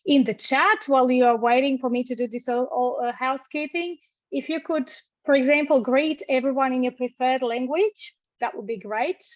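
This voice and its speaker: Casting: higher-voiced woman, lecturer hosting an online session, friendly but halting, dry muffled call audio. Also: no room sound. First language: Croatian. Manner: friendly, halting, with many restarts and fillers. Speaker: university lecturer hosting a webinar. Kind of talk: webinar housekeeping and introductions. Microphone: video call.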